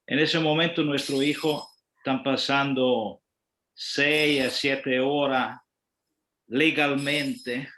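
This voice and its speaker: Slow, hesitant man, not a native speaker. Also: mid-range voice, steady tone, sharp tone, rough recording. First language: not Spanish. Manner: hesitant, halting, searching for words. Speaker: parent asking a question. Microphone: video call.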